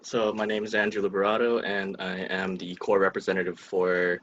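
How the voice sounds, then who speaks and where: Low-voiced young man, hesitant. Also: monotone, fairly bright tone, lo-fi sound, slight background noise. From Hawaii, US. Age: young adult. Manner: hesitant, halting, many pauses. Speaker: podcast co-host, student. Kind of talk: casual podcast chat.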